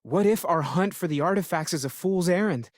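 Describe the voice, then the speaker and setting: Young male space explorer played by a man, game character: sincere, softly questioning seeker, dry studio-close sound. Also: high voice, fairly quick, no room sound, lightly acted. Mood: earnest, wondering. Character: earnest, curious, spiritually searching young male space explorer. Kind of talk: video game voice acting.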